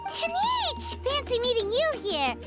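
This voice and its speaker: A woman voicing a tiny, excitable floating girl companion in a game, jumpy and flustered. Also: very high-pitched, takes it slowly, full character voice. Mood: cheerful. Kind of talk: game voice acting. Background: game music.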